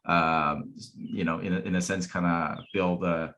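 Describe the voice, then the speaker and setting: A man speaking as a panel guest, thoughtful, pausing and restarting often. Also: sits low, steady tone, a bit bright. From Taiwanese family. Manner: halting, many restarts, thoughtful. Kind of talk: casual panel talk.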